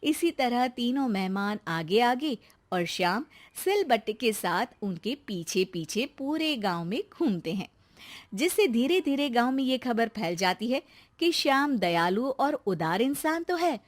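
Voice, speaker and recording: A woman tells a scripted story in a high voice. Her intonation moves in animated swings as she narrates. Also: a little slow.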